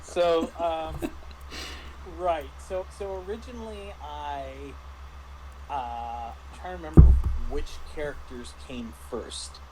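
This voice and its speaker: A man in a casual discussion as a game master, speaking haltingly and often restarting his phrases. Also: a little high, very noisy. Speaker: game master reviewing a tabletop RPG.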